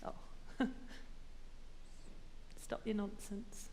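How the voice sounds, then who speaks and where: A woman's voice: medium pitch, flat delivery, extremely slow, warm tone, slight background noise. A woman playing a kindly, reassuring matron in dialogue from a stage musical.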